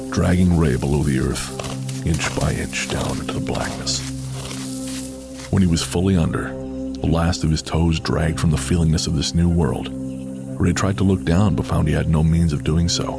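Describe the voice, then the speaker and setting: A man narrating a scripted horror audio drama in a grave, deliberate, gravelly storytelling manner. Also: very deep voice, steady tone. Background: music.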